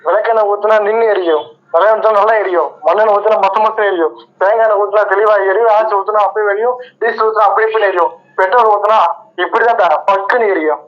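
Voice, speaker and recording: A man's voice: high-pitched, steady tone, rapid-fire, close to an everyday voice. A man acting a swaggering Tamil film tough guy, with punchy, declamatory dialogue over a muffled phone line. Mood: boastful.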